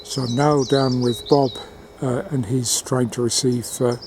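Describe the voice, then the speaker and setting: A man giving a halting, matter-of-fact commentary on a field experiment, recorded outdoors in a garden. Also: mid-range voice, steady tone. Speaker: amateur radio experimenter. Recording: outdoor wind and ambient noise.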